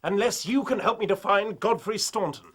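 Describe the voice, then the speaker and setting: An actor playing an excitable young rugby captain in a radio drama, English-accented, pouring out words in an agitated rush. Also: male voice, high-pitched, normal speed. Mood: frantic, pleading. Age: young adult. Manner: agitated, breathless torrent of talk.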